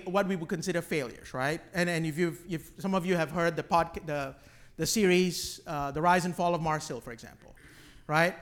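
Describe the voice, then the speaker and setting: A man from Malaysia teaching a class informally, halting and repeating words, often checking in with "right?". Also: high voice, a bit bright. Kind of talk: informal teaching with questions from the group.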